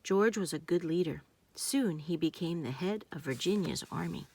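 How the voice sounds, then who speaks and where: A woman narrating a children's storybook, reading aloud in simple, deliberate phrases meant for young listeners. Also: lowish voice, expressive, darkish tone, slight background noise.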